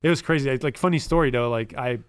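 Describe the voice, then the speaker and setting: A man from Montreal, about thirty, whose first language is French, telling stories as a podcast guest in a quick, rambling way full of "like" and "um". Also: mid-range voice, smooth, dry recording. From Montreal, Canada. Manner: rapid storytelling, full of "like" and "um". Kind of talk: casual podcast interview.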